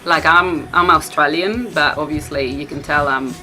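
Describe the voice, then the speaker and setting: A woman's voice: low-pitched, normal speed, music in the background, poor audio. A woman with an Argentinian accent, talking casually and personally.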